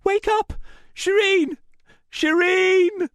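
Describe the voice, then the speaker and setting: A man's voice: extremely high pitch, steady tone, extremely slow, fairly bright tone, dry recording, clean studio sound, voice pushed up, exaggerated voice. A man voicing a frightened, guilt-stricken young woman in an audiobook, pleading urgently in a strained voice that threatens to break. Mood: desperate.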